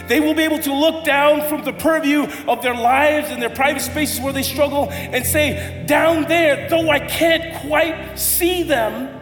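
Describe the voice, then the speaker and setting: A man preaches from the pulpit with a high voice. He tells a story and then builds to an impassioned appeal. His words ring through a large hall with a long echo, and faint music plays underneath. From United States. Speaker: pastor. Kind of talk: sermon. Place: church hall.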